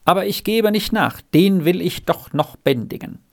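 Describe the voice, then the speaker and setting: A woman's voice: on the low side, lively intonation, normal speed, pitched above the performer's own voice. Woman as a stern, domineering farmer's wife. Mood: scornful complaint. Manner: stern, commanding, threatening.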